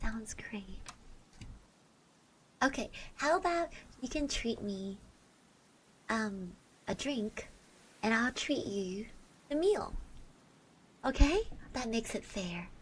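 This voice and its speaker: A woman playing a shy, sweet schoolgirl classmate in an ASMR roleplay, speaking softly and hesitantly to the listener. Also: fairly high pitch, lively intonation, slow pace, long pauses, slight background noise, poor audio. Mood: embarrassed, soft. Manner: hesitant, stammering, often dropping to soft murmurs and whispers.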